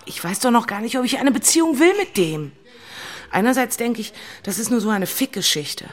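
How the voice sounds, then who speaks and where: A woman's voice: fairly high pitch, lively intonation, brisk. An actress playing an emotional, impulsive young woman in love turmoil, in a dramatic radio play style. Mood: agitated, pleading. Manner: dramatic, volatile, shifting into murmurs. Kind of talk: German radio play acting. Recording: music underneath.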